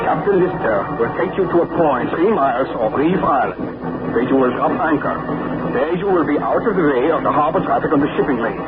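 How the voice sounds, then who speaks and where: A man's voice: a little high, natural voice. An actor playing a cold, precise male enemy agent, speaking in a clipped, formal, commanding way. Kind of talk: 1940s radio acting. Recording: tinny, hissy 1940s radio broadcast.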